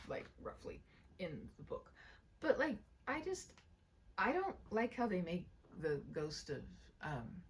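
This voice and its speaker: A woman hosting a read-aloud, chatting casually in a gentle, rambling, expressive way. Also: on the high side, a little hiss or hum. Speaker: storyteller and channel host. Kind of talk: casual chatty commentary and story narration.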